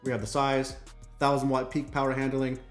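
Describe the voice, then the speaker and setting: A man's voice: medium pitch, completely flat delivery, fairly quick. A man hosting a product unboxing in an emphatic, casual, punchy style, like a hyped channel presenter. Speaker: product review channel host. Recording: roomy echo, faint music behind.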